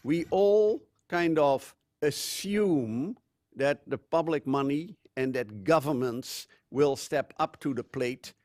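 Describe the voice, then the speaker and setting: Older man, Dutch accent, conference speaker, dry close sound, unhurried with fillers. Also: a little high, darkish tone, dry recording. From Netherlands. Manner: measured, loose phrasing with frequent fillers. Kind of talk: conference talk with slides. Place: conference room.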